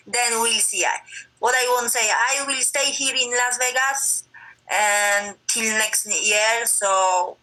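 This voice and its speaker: A woman from Poland with a Polish accent, answering interview questions in simple, halting English with frequent fillers. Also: on the high side, steady tone, pushed and bright, poor audio. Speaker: MMA fighter.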